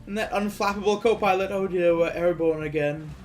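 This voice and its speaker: A man's voice: high voice, fairly quick, faint music behind. A man from Quebec co-commentating on a video game in casual, teasing, sarcastic chat. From Quebec, Canada. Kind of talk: casual joking chat over gameplay.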